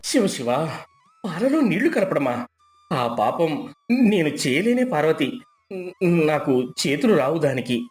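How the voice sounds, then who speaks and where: A man's voice: on the high side, sing-song, slow, pauses often, faint music behind. A man playing a meek, honest, poor village milkman who pleads and protests in a moral story. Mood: sorrowful.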